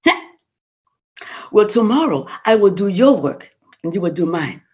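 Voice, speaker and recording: A woman's voice: medium pitch, very animated, unhurried, darkish tone, voice pushed down. A woman voicing a hard-working farm wife who scolds her husband, in a tart, scolding voice. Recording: dull lo-fi recording.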